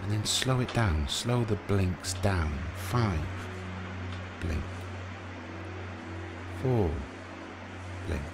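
A man narrating a guided sleep meditation in a soothing, gentle, unhurried way, leaving pauses between short phrases. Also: fairly low pitch, lively intonation, vocal fry, noisy background. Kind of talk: guided sleep meditation, hypnotic relaxation countdown. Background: music.

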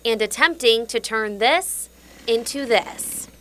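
A woman's voice: on the high side, takes it slowly. A young woman with an American accent, reading scripted narration for a TV news feature. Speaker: TV news reporter. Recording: loud workshop noise under narration.

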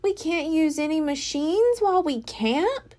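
A woman's voice: high-pitched, expressive, unhurried, a little mellow, voice pushed up. A woman voicing an earnest, slightly fussy young male sheep in a storybook. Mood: eager.

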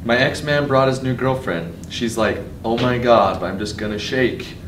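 A man's voice: medium pitch, normal speed, a bit bright. A man giving a spoken dramatic reading of lyrics in a classroom. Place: school classroom. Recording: echoey room, distant mic, noisy.

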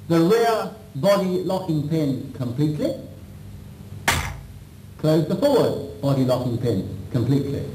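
A man with a slightly high voice narrates a practical demonstration as an instructor. He is formal and methodical, going step by step. The sound is a roomy old videotape copy with faint noise. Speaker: weapons instructor.

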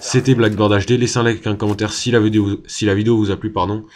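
A young man with a very low voice, talking casually over his own gameplay footage. He hesitates often, fills pauses and restarts his sentences as he talks to his viewers. A faint hiss sits under his voice. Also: level tone, darkish tone. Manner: hesitant, many fillers and restarts. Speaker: gaming YouTuber.

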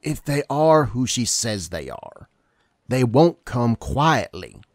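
A man playing a gruff officer who leads an armed squad in an audio drama. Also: medium pitch, big pitch swings, slow, no echo, clean studio sound. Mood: wary.

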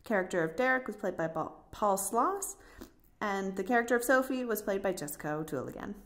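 A woman's voice: medium pitch, expressive, fast talker, fairly bright tone. A woman presenting a podcast casually as host.

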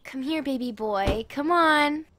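Woman acting high-voiced strained mother. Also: expressive, unhurried, background noise. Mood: hesitant. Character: strained, scolding mother with teenage children. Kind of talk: audio drama acting.

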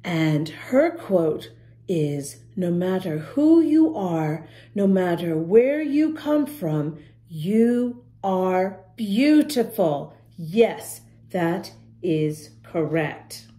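Lady doing kids read aloud, really mellow voice, super lively up and down, encouraging, talks right to the little ones. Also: lowish voice, drawn-out words. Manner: encouraging, addresses young listeners directly with questions. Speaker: children's storytime reader and host. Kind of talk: expressive picture-book read-aloud to young children.

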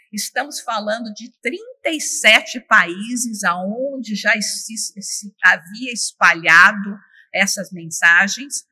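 Passionate woman lecturing, bright tone. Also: mid-range voice. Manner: enthusiastic, expressive, with frequent restarts. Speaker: opening speaker at a spiritist congress. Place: large dark auditorium stage at a lectern. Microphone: lectern microphone.

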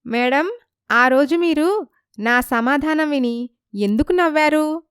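A woman's voice: high voice, slow, no echo, studio quality, voice pushed up. A woman voicing a naive village wife in a moral story, wronged and indignant, pleading and questioning. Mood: puzzled, polite. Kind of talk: dramatized moral story dialogue.

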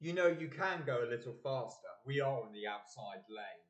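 A man's voice: mid-range voice, expressive, normal speed, highs cut off, natural voice. Man acting a breezy Englishman, echoey room. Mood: breezy, teasing. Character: breezy, teasing man of the family. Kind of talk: community audio play.

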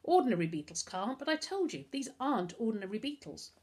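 A woman voicing an earnest, loyal young boy in a children's storybook, coaxing and gently persuasive. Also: on the high side, very animated, fast, breathy edge, pitched above the performer's own voice. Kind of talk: acted dialogue in a children's storybook.

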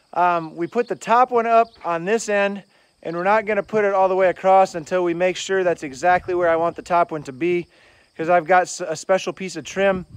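A man's voice: high voice, smooth. A man in his thirties, a farmer, casually explaining barn repairs on his farm in a plain, practical way. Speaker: farmer and channel host. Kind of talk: casual farm vlog walkthrough. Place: outdoors beside an old barn on a farm. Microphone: handheld camera, often selfie at arm's length. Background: crickets and insects outdoors.

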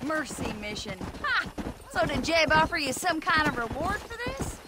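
A cackling frontier horse thief. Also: female voice, high-pitched, big pitch swings, normal speed. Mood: teasing. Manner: cackling, teasing, drawling. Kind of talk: video game cutscene acting. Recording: game audio with outdoor ambience noise.

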